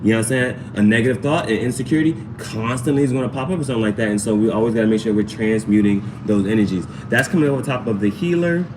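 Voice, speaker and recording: A man's voice: fairly low pitch, fast talker, hardly any pauses, noisy background. A man hosting a casual live tarot reading, chatting to viewers in slangy speech and often repeating his phrases. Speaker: tarot reader, livestream host.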